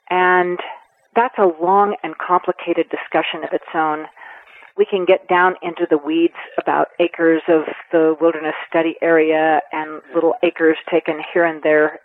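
A woman in her seventies giving a radio interview, talking earnestly and with emphasis, pausing to weigh her words. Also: low voice, no echo. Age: 70s. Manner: earnest and emphatic, with measured pauses. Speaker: conservation advocate guest. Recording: tinny phone line, dry.

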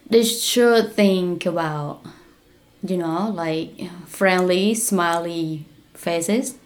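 A young woman from Cambodia, answering interview questions in a halting way and searching for words. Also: fairly low pitch. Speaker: guest, business founder. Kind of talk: casual interview talk.

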